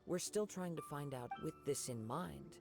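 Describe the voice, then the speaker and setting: An actor playing a calm, modest young wandering samurai in a video game, soft-spoken and formal. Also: male voice, fairly high pitch, moderate pace, gravelly. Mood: thoughtful. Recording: music behind the voice.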